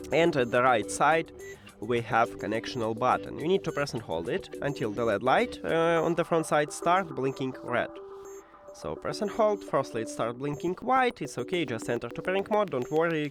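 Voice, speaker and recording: Lively non-native English-speaking man giving tutorial. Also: mid-range voice. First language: not English. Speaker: tech tutorial presenter. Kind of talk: step-by-step how-to narration.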